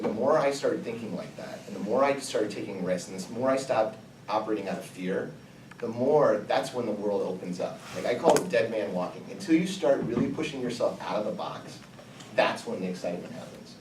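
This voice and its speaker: A man giving an energetic motivational talk to students, repeating key phrases for emphasis. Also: on the low side, fairly quick. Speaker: guest speaker. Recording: echoey room, distant mic, background noise.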